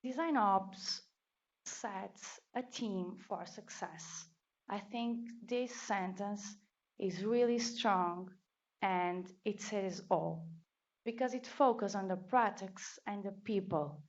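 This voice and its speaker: A woman from Portugal with a Portuguese accent speaks slowly and carefully at a meetup, restarting phrases as she goes. The recording sounds dull and lo-fi. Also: medium pitch. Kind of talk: conference talk. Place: meetup venue.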